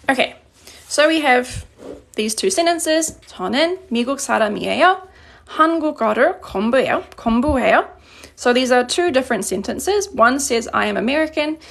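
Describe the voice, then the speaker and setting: A woman's voice: high-pitched, expressive, fast, gravelly, pauses often, a bit bright. A woman teaching Korean grammar in a live online lesson, patiently explaining examples and answering viewer questions. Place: indoors at a desk. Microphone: phone camera above a desk.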